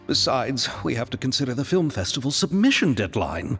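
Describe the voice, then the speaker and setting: A man's voice: sing-song, brisk, a bit bright. An actor playing a nervous, effusive male film director in game dialogue. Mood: earnest. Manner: flustered, emotional, leaps high in pitch. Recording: reverberant with music behind.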